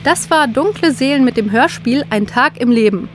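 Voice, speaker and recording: A woman's voice: sits high, lively intonation, normal speed, faint music behind. A woman podcast host reading a scripted outro.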